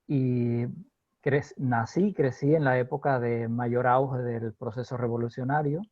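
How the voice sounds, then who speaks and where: A Cuban man from Holguín, a law professor, answering interview questions in a measured, hesitant way, with many fillers and frequent laughs. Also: medium pitch, steady tone, darkish tone, dry recording, highs cut off. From Cuba, Holguín.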